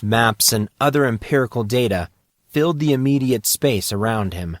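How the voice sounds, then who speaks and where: A man's voice: mid-range voice, fairly bright tone, no echo, studio quality. A man reading scripted fiction as an audiobook narrator.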